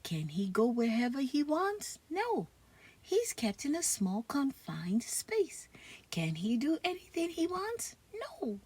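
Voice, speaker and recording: A woman's voice: high voice, wildly swooping pitch, slow, a little mellow, breathy edge. A woman reading a comic book aloud expressively for children. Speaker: storybook reader.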